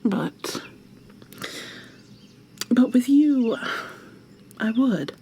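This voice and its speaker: A woman playing an obsessive, coldly possessive killer in an intimate ASMR roleplay, soft and often whispery, with a deliberate, mock-sweet, threatening manner. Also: a little high, expressive, a little hiss or hum, full character voice. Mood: possessive threat. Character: obsessive, menacing female serial killer lover, coldly possessive.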